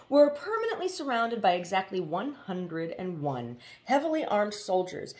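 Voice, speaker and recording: A woman reading a novel aloud as expressive storybook narration. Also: fairly low pitch. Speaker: audiobook reader.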